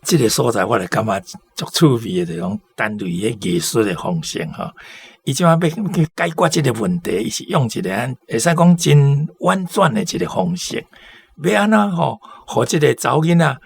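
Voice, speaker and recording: A man's voice: mid-range voice, very animated, normal speed, vocal fry, husky. A man giving casual, chatty commentary on stories for a radio programme.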